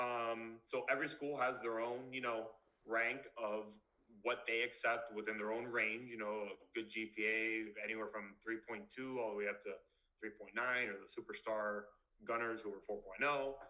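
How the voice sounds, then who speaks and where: A man's voice: mid-range voice, bright, forceful tone, rough recording. Blunt young man giving advice. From Miami, Florida. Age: 20s. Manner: blunt, straightforward, casual with fillers. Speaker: dentist giving a talk. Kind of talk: online presentation to students.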